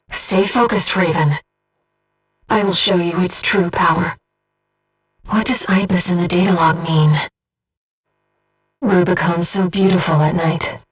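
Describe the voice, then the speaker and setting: A measured, disembodied female mission operator. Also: on the low side, slightly rough, far from the mic, low-quality recording. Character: disembodied female mind-voice guiding a mech pilot, calm, devoted. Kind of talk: video game voice acting, mission operator dialogue.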